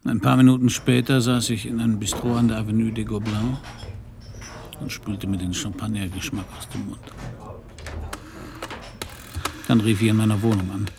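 An actor playing a blunt, world-weary detective with an anarchist past, narrating and acting gruffly in a German radio crime play. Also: male voice, lowish voice, level tone, a little fast, background noise. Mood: weary narration.

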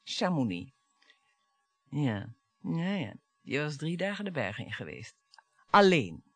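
A woman's voice: low voice, very animated, unhurried, breathy edge, no room sound, heavily acted. An actress in a radio play playing a bitter, taunting middle-aged wife and mother, sarcastic and dramatic. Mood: bitter reminiscing. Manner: sarcastic, dramatic, swinging between hushed and biting.

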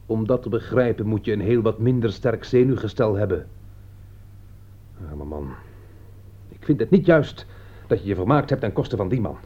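An earnest, principled man with a dark, husky voice. He reproaches others hesitantly but with compassion, in a radio play on a dull old recording. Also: lowish voice, lively intonation, normal speed. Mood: sympathetic, earnest. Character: earnest, compassionate, disapproving male friend of principle. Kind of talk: radio play acting in Dutch.

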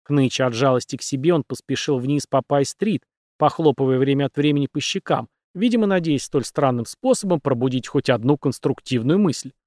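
A man reading an audiobook, narrating the story in an even, steady storytelling manner. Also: mid-range voice, fairly quick, dry recording, clean studio sound. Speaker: audiobook reader.

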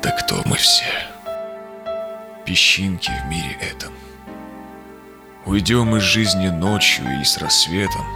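A man acting a seasoned, honorable Cossack explorer in a verse audio drama, with solemn, declamatory, measured delivery. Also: sits low, animated, music in the background. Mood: philosophical, resolute. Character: seasoned Cossack ataman explorer, honorable, sorrowful, longing for home.

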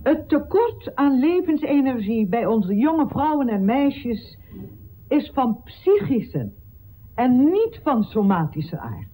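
A woman's voice: sits high, big pitch swings, takes it slowly, warm tone, exaggerated voice, poor audio. An actress playing an earnest, principled woman physician in a radio drama, speaking with solemn, impassioned formality. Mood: earnest, declaiming. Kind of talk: declamatory radio drama oratory.

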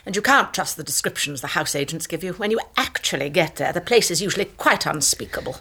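An actress playing a curt, dismissive middle-aged wife in a radio drama. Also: female voice, on the low side, lively intonation, fast, creaky, pushed and bright, slight background noise, full character voice. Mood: cool, disapproving. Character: curt, disapproving middle-aged upper-class English wife.

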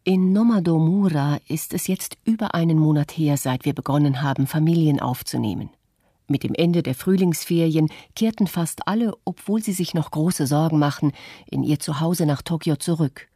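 A woman voicing an earnest, critical middle-aged witness in a scripted documentary radio play, with a husky voice. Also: low-pitched, moderate pace, dark tone, no echo. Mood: earnest, reflective.

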